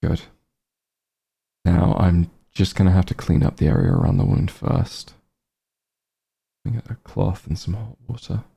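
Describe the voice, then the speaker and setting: Man acting as gravelly, low-voiced back-room doctor. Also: dark tone, heavily acted. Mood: focused, gentle. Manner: soothing, unhurried bedside reassurance with dry bluntness. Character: calm male back-room doctor, caring, gently scolding old friend. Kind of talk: ASMR roleplay, softly spoken.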